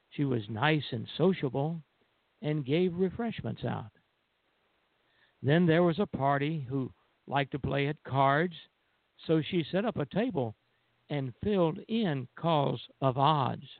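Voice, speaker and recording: Slow, gravelly man on an echoey phone line. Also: on the high side, animated. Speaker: radio show co-host. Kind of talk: poem recitation, then casual show talk. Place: call-in internet radio show over phone. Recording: band-limited phone line with echo.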